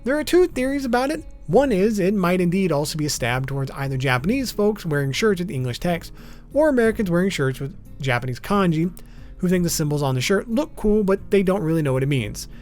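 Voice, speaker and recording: Higher-pitched man narrating, lively intonation. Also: a little fast. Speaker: video essay narrator. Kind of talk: informal scripted commentary on a list of topics. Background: background music.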